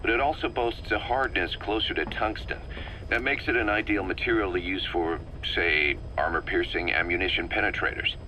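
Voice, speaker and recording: Game technical advisor, explaining, knowledgeable, low tense voice, faint hiss. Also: male voice, moderate pace, sharp tone, poor audio. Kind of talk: video game voice acting.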